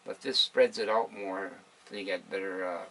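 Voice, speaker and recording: A man giving an informal, hands-on demonstration in a halting, explanatory way, as the presenter. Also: deep voice, a bit bright, low-quality recording. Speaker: presenter demonstrating a vintage radio. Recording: faint background hiss and room noise.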